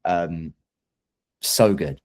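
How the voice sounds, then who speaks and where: Low, mellow-voiced man chatting thoughtfully. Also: very slow. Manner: hesitant, thoughtful, self-deprecating. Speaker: singer-songwriter guest. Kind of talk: casual interview chat.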